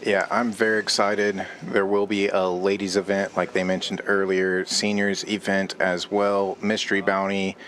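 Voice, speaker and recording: A man's voice: deep voice, level tone, moderate pace, few pauses, fairly bright tone. A man co-hosting a poker stream, reading out event details in a level, matter-of-fact way. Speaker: poker co-commentator. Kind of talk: commentary and event promotion.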